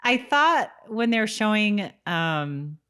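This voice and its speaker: A woman's voice: mid-range voice, very animated, slow pace, a bit bright, clean tone. A woman co-hosting a podcast, talking about a TV show in an informal, loosely phrased, conversational way. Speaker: podcast co-host. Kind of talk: casual review chat about a TV show.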